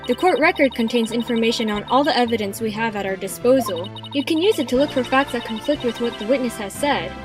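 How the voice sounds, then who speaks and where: A feisty young female rookie lawyer voiced by a woman in a video game fan dub. Her voice is high and peppy, eager to explain things, with music running underneath. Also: natural voice.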